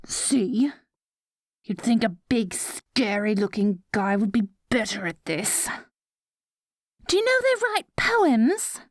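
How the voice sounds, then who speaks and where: A woman's voice: on the high side, very animated, takes it slowly, pauses often, no room sound, clean studio sound, exaggerated voice. A woman playing an adorkable evil sorceress queen in a scripted roleplay audio, petulant and gleefully wicked, sliding from theatrical gloating into casual chatter. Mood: exasperated scolding.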